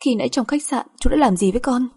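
A woman as a timid, aggrieved young wife, husky. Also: mid-range voice, fairly quick, mellow, close to an everyday voice. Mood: hurt, accusing. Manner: deferential, emotional.

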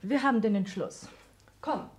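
A woman's voice: fairly high pitch, normal speed, a little hiss or hum, close to an everyday voice. An actress playing a cool, resolute young woman of a wealthy family in a 1960s German radio drama, formal and decisive.

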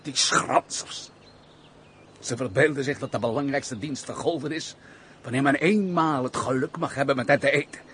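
An actor playing a bitter, curt, reclusive gentleman who shuns people, in a Dutch period radio play. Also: medium pitch, moderate pace, a little hiss or hum, close to an everyday voice. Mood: bitter, scornful.

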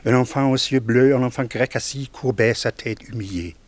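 An actor playing a cultured old gentleman who solemnly recites poetry in a radio play. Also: medium pitch, brisk, dry recording, lightly acted. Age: older.

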